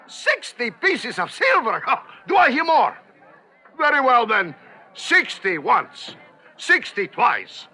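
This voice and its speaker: A man voicing a pompous, impatient male auctioneer with showy, blustering patter in an animated film. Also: extremely high pitch, takes it slowly, soft background music, heavily acted.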